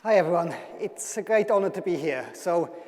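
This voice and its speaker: A man's voice: high-pitched, expressive, moderate pace, a little mellow, some chatter behind, slight background noise. A man with a Swiss German accent giving a public lecture, telling stories with dry humour. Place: large lecture hall. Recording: hall reverb.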